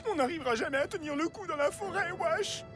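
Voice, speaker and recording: A woman voicing an excitable, grumbling little animal with slangy exclamations in a children's cartoon. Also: very high voice, quick pace. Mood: exasperated. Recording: clear music behind the voice.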